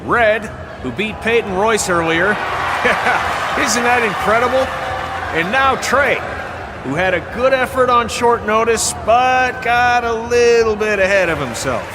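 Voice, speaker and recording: An actor playing a self-deprecating veteran wrestling trainer, dry and matter-of-fact, in a video game cutscene. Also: male voice, sits high, animated, unhurried, noisy background. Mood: warm, teasing.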